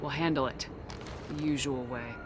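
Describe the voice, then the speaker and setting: A woman's voice: extremely low pitch, fairly even intonation, moderate pace, background noise. A woman playing a bold, sardonic, commanding space-marine commander in a cinematic video game. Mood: cool, resolute. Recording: music behind the voice.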